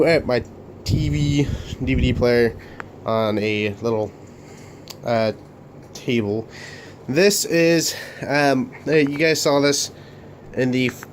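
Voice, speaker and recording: A young man hosting a casual room tour vlog of his bedroom studio, talking haltingly with many fillers and restarts while coming down with a cold. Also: medium pitch, slight background noise. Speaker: Lego channel host.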